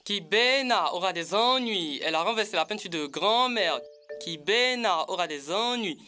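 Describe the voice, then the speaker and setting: A man's voice: high-pitched, expressive, normal speed, pushed and bright, faint music behind. A man voicing a gleeful tattletale in a children's cartoon dub, taunting in a singsong way.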